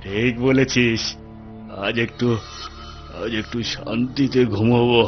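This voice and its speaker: A man's voice: medium pitch, steady tone, unhurried, muffled recording, over music, lightly acted. A man playing a bossy, scheming bandit chief in a cartoon, with a commanding, scolding manner. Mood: pleased, bossy. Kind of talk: cartoon voice acting in Bengali.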